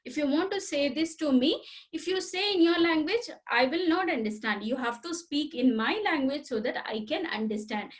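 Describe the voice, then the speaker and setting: A woman's voice: high voice, lively intonation, normal speed. A woman teacher giving a computer lesson to children. She repeats key points, asks the class questions and has them say words along with her. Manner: repetitive, addresses children directly, asks questions. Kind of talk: recorded school computer lesson.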